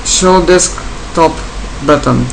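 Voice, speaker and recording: A man from Bulgaria with a Bulgarian accent, English not his first language, giving a software tutorial in short, plain step-by-step instructions. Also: fairly high pitch, takes it slowly, creaky, a little hiss or hum, low-quality recording. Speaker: tutorial presenter. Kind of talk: screen-recorded software tutorial.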